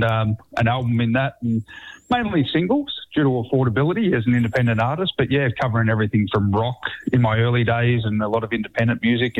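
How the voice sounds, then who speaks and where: A man with an Australian accent, a musician chatting casually as a radio guest over the phone. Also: medium pitch. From New South Wales, Australia. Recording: tinny phone line to radio.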